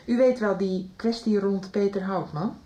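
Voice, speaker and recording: A woman's voice: medium pitch, normal speed, warm tone, natural voice. A middle-aged actress playing an anxious mother who apologizes and pleads about her runaway son, in a 1990s radio drama. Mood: worried.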